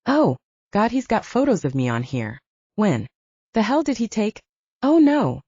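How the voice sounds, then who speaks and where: A woman's voice: mid-range voice, very animated, unhurried, warm tone, breathy edge, no room sound, voice pushed up, exaggerated voice. A woman voicing a tough but distressed young female soldier in an audiobook, demanding and emotional, cursing as she talks. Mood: distressed, angry. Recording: dull lo-fi audio, dry.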